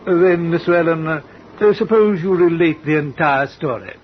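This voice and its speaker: An actor playing a stern, commanding middle-aged sea captain in an old radio drama, with measured, probing questions. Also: male voice, on the high side, natural voice. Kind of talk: 1940s radio acting. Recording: dull old radio recording with hiss.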